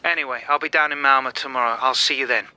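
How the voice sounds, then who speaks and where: A very sharp, bright male voice with a telephone sound, playing a chief inspector in a radio crime play. He is terse and dry, questioning in a sober, deadpan way. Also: medium pitch, quick pace, clear, resonant tone, dry recording. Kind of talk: radio drama acting.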